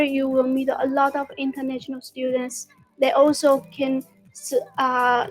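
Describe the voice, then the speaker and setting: A woman's voice: high-pitched, darkish tone, low-quality recording. A young woman with a Chinese accent giving a student testimonial to camera. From China. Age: young adult. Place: indoor lounge or office.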